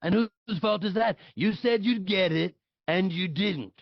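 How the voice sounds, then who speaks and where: A man acts out a blustery, self-assured politician parody for a comedy table read. He is curt and dismissive, with a creaky, higher male voice. The sound is dry and slightly dull. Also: unhurried, heavily acted. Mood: accusing.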